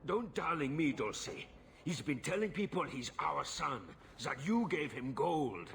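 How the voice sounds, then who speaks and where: A man's voice: on the high side, lively intonation, a little slow, gravelly, a bit bright, slight background noise, full character voice. An actor playing an anxious, exasperated middle-aged nobleman and father in a video game, formal and earnest.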